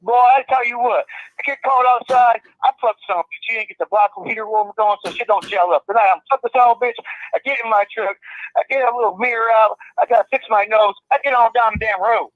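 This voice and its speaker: A man's voice: very high voice, a little fast, dry recording. A man playing a cussing, hot-headed trucker on a muffled phone line. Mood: boastful. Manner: profane, blustering, threatening, drawling. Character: foul-mouthed hot-tempered male trucker, "Super Trucker". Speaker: phone-in guest.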